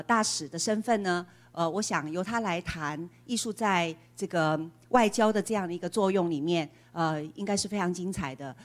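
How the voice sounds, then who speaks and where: A woman moderating a forum session, introducing the next speaker politely with frequent filler hesitations. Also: mid-range voice. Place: conference forum stage.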